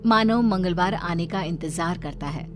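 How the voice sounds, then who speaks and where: A woman's voice: lowish voice, a little slow. A woman narrating a cartoon story from a script. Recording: faint music behind.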